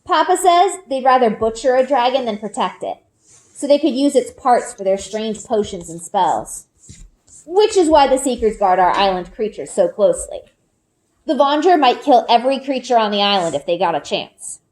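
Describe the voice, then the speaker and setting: A woman reading a children's book aloud in an expressive storytelling style. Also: on the high side, moderate pace, low-quality recording. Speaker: read-aloud reader. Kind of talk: expressive children's book narration, first person. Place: group read-aloud with elementary pupils.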